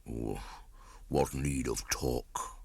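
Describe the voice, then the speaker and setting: Man as a very deep, tender old bear. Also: gravelly, breathy edge, dry recording, exaggerated voice. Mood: weary, grave. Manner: slow, grave, gentle. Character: old, nearly blind male bear, tender weary teacher.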